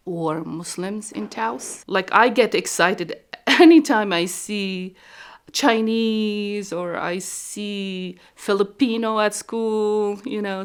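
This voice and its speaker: A woman from Palestine talking about her life in an interview, telling her story in a slow, animated way. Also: mid-range voice. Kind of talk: documentary interview.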